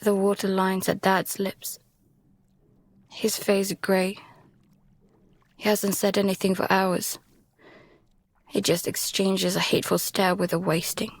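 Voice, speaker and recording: Breathy woman narrating survival horror as actor. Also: mid-range voice, little pitch movement, slow pace, long pauses. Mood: hushed, drained dread. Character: frightened exhausted young survivor adrift at sea. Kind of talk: first-person dramatic narration, audio drama acting.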